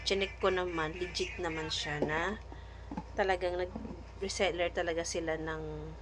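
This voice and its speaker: A woman's voice: on the low side, fairly even intonation, unhurried, noisy background. A Filipino woman chatting casually in an unboxing vlog, thinking aloud and mixing in English words. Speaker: vlogger.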